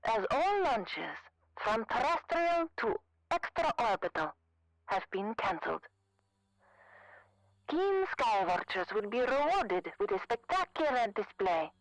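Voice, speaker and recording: Female propaganda newsreader, formal, animated intonation, very dry sound. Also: on the high side, takes it slowly, pauses often, dry recording, full character voice, rough recording. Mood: formal, polished. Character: female propaganda newsreader heard on a recorded broadcast. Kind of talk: formal scripted news reading.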